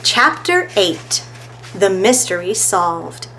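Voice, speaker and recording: A woman's voice: mid-range voice, very animated, slow, sharp tone, slight background noise, rough recording. A woman narrating a children's story as a storytime reader.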